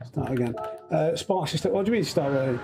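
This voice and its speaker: A man's voice: on the high side, lively intonation, fast, music in the background. A man giving casual live commentary as he plays a racing game, critiquing his own driving and chatting to viewers. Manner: muttering self-critique, addresses himself and viewers. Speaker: live streamer hosting a sim racing stream. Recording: clear engine noise behind voice. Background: racing game car engine sounds.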